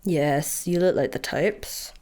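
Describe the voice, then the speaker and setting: An actress playing an officious, withering job centre interviewer in a radio comedy, with a pompous, formal, deadpan delivery. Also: female voice, low-pitched, slow, a little hiss or hum. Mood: stern, judgmental. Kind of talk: radio sci-fi comedy acting.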